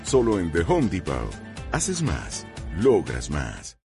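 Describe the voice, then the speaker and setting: Deep-voiced male ad announcer. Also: wildly swooping pitch, takes it slowly. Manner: punchy salesy delivery. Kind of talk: scripted commercial read. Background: music.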